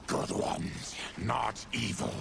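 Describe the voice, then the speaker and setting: An actor playing a grave, afflicted monstrous warrior outcast in a video game, gravelly and measured. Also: male voice, fairly low pitch, heavy background noise, full character voice. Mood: solemn. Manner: solemn, measured.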